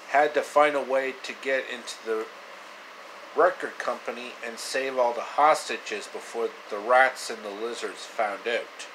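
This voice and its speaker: A man in his thirties telling an improvised story casually to camera, halting and repeating phrases, in a noisy small room. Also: mid-range voice, fairly bright tone. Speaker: amateur storyteller. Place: small indoor room, reclining. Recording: clear air conditioner noise.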